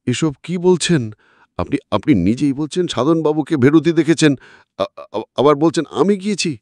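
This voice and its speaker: A man's voice: on the high side, animated, normal speed, darkish tone, no room sound, voice pushed up, close to an everyday voice. An indignant male suspect acted by a man reading a story, evasive and defensive. His voice sits raised and jumps around in flustered emphasis, recorded dry and close. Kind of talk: dramatic audiobook character reading.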